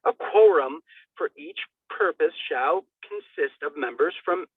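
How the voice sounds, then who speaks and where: A man's voice: a little high, big pitch swings, a little slow, gravelly, slightly rough, no room sound. A man giving a dramatic, declamatory reading of a formal legal document, stumbling now and then. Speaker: reader. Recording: thin phone-like radio sound.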